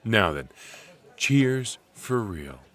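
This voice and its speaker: Deep-voiced, rambling, flirty coffee date played by a man. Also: sing-song, exaggerated voice. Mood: flustered, playful.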